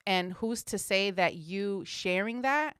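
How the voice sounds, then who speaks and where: Female podcast co-host, smooth clear voice, direct and encouraging, dry close sound. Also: mid-range voice, slow pace, a bit bright, dry recording. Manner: encouraging and direct, with frequent affirming murmurs. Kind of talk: casual podcast conversation.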